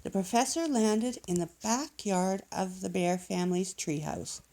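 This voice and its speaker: Female storybook reader: unhurried, animated narration with a touch of vocal fry. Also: mid-range voice. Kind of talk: expressive children's storybook narration.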